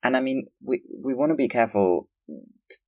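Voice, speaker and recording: A man's voice: mid-range voice, big pitch swings, moderate pace, a little mellow, no echo, low-quality recording. A man from Austria hosting a conversational podcast interview in an earnest, tentative manner. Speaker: podcast host.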